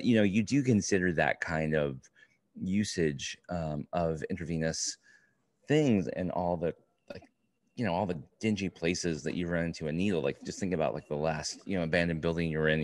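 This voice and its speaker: A middle-aged man co-hosting a casual book review podcast, talking in a rambling, halting way with plenty of swearing. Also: low voice. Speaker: podcast co-host. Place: indoor room, remote video call.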